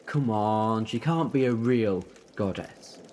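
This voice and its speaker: A man's voice: on the low side, takes it slowly, mellow, slight background noise. A man playing an eccentric, defiant, youthful-looking time traveller in an audio drama, rambling in a playful, theatrical way. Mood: wistful, earnest. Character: eccentric, whimsical, defiant time-travelling alien Doctor who looks young.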